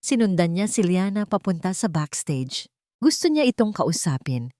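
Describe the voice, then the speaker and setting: Female story narrator, slightly low register, dry recording, scripted dramatic delivery. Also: big pitch swings, moderate pace, dark tone, no room sound.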